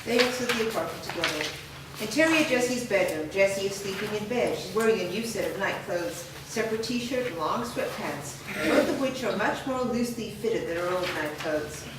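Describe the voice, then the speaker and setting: A woman reads stage directions in a plain, even way. She is far from the mic in a very echoey space with background noise. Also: mid-range voice, moderate pace. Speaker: narrator reading stage directions. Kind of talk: staged reading.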